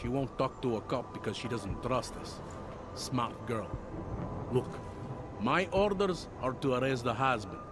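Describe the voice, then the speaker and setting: A man's voice: medium pitch, animated, measured pace, very noisy, lightly acted. A man playing a wry, weary veteran cop. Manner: wry, world-weary, half-joking. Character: weary veteran male city police detective. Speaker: game character. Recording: game cutscene with loud music.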